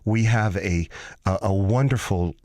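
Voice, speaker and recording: Give me an American man on a radio show, stumbling through his words in a low voice. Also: a little mellow, no room sound. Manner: halting, full of fillers and restarts. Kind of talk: casual radio chat. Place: radio studio.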